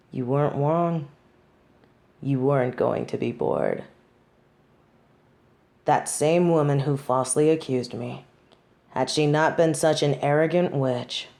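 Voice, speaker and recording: A low, mellow female voice from an actress plays a haughty tsundere in an audio drama. She speaks slowly and deliberately, with wry, superior airs, as if confiding in one close listener. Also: full character voice. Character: snobby tsundere woman, judge bonded to a demon. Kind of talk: scripted audio roleplay, intimate monologue to listener.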